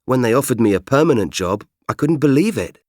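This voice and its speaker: A man doing a young British writer, British accent, easygoing, mid-pitched, dry studio sound. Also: lively intonation, brisk, darkish tone, dry recording, close to an everyday voice. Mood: friendly. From Britain. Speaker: magazine writer character. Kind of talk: scripted course dialogue.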